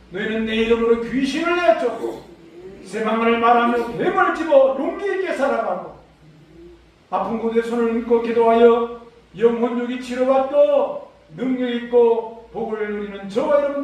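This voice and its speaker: A man preaching a Sunday sermon as a pastor, exhorting with emphasis. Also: extremely high pitch. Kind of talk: Sunday sermon with scripture readings. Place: large church sanctuary during a service. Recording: echoey church hall, far from mic.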